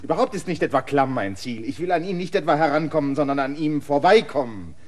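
An actor playing a stubborn land surveyor in a radio play, insistent and emphatic. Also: male voice, fairly high pitch, animated, fast talker.

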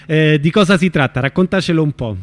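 A woman's voice: sits low, quick pace, no echo. A woman hosting a live radio interview in an informal, conversational way. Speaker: radio host.

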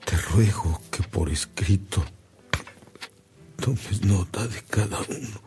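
An old Mexican bishop nearing death in a radio drama. His voice is very deep and slow, solemn and devout, with a Mexican accent. Prominent music plays behind him. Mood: weary, urgent. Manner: solemn, deliberate.

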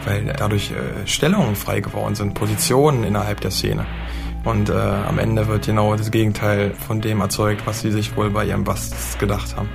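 A man's voice: lowish voice, little pitch movement, moderate pace, vocal fry. A man explaining things hesitantly in an informal interview. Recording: music under the voice.

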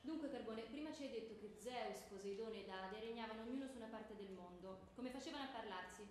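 An actress playing a strict, brisk teacher who quizzes her pupils and explains Greek myths in comic theatre dialogue. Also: fairly high pitch, a little mellow, lightly acted. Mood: stern, testing. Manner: schoolteacherly, brisk, questioning and grading. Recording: very echoey theatre room, faint noise.

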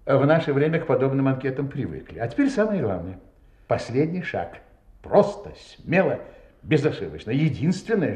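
Man playing shrewd police inspector, audio drama, lively swooping intonation, theatrical emphasis, roomy sound. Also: medium pitch, lightly acted. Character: shrewd, assured male police inspector unmasking a killer. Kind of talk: Russian audio drama acting.

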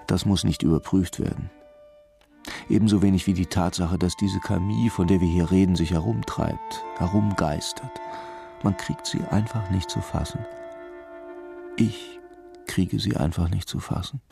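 An actor playing a brooding, intuitive police commissioner who muses slowly and repeats his phrases, in a radio crime drama. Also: low-pitched, vocal fry, warm tone, soft background music. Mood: brooding, musing. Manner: slow, musing, repeats his own phrases.

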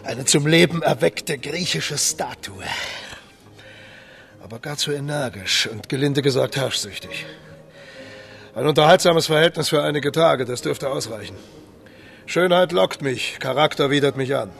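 An actor playing a vain, fickle, seductive king in a German radio drama, with courtly, smooth-tongued flattery. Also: fairly high pitch, unhurried, long pauses, faint music behind, exaggerated voice. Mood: appraising, cool.

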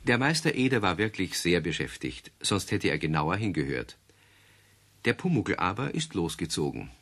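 A man narrates a children's radio play like a measured storyteller. His voice is very deep and moves a lot in pitch. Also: sing-song, a bit bright. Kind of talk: scripted narration.

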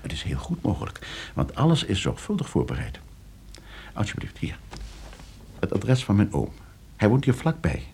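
An actor playing a coolly scheming, suave and condescending nephew in a Dutch radio play. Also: male voice, on the low side, wildly swooping pitch, normal speed, gravelly, pauses often, mellow, a little hiss or hum, heavily acted. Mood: urgent, soothing. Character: scheming, debt-ridden nephew coolly arranging his uncle's murder.

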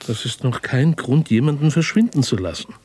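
An investigator in a radio play, super breathy husky mellow voice, keeps probing, restrained but pushy. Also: male voice, medium pitch, expressive, normal speed. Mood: urgent, insistent. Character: insistent male investigator searching for a missing journalist. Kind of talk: naturalistic radio drama dialogue.

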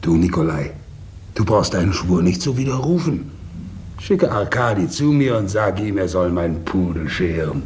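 A man's voice: sits low, big pitch swings, moderate pace, darkish tone, slight background noise. An actor playing a vain, stingy Russian nobleman, a count's brother, in a theatrical, boastful, mocking manner in a 1950s German radio play. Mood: sly, satisfied.

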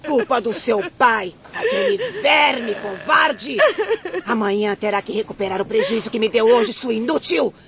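Cruel, creaky-voiced, berating mother. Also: on the high side, very animated, unhurried. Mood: furious scorn. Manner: scornful, shouting, gloating. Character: cruel abusive mother berating and exploiting her daughter. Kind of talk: radio drama acting. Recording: dull lo-fi audio with crowd-like background.

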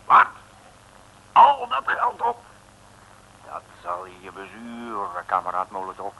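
An actor playing a menacing communist agent in a comic radio serial, speaking in stern, clipped commands. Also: male voice, low-pitched, huge pitch swings, takes it slowly, bright, forceful tone, slight background noise, exaggerated voice, poor audio. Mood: threatening. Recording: old 1950s radio recording.

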